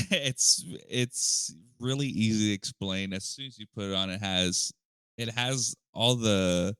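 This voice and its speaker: A man chatting casually as a podcast guest, relaxed and talkative. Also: lowish voice, measured pace, smooth, no echo. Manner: relaxed, chatty with fillers and laughs.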